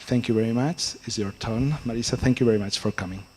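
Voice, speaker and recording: A man chairs a conference session and introduces each speaker in a halting way, full of fillers. Faint background noise runs under his voice. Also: medium pitch, fairly even intonation, mellow. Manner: hesitant, many fillers. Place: conference hall.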